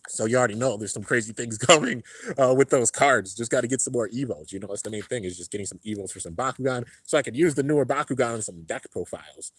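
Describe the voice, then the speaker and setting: Young male streamer, relaxed rambling chat, slightly congested, dry close sound. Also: fast, breathy edge, no room sound. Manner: laid-back, rambling, heavy on fillers. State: a little congested. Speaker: YouTube host reading live chat. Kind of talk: casual livestream chat. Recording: low-end home stream setup.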